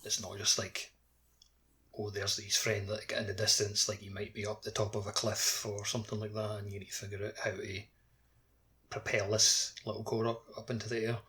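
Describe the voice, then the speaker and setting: A man's voice: lowish voice, level tone, brisk, sharp tone. A man chatting casually about a video game as a podcast co-host, with background noise and clicks.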